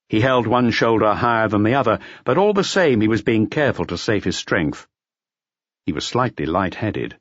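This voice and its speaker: A man reading a mystery audiobook in an expressive, dramatic storytelling manner. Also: low-pitched, dry recording, lo-fi sound. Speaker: audiobook narrator. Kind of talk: scripted mystery audiobook narration.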